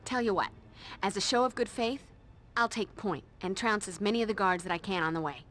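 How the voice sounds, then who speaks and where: A woman playing a tough young vampire slayer in a video game, dry and sarcastic, giving orders with ease. Her voice rests at an ordinary middle pitch, with a faint noise underneath. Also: fairly quick, fairly bright tone, natural voice. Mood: wry.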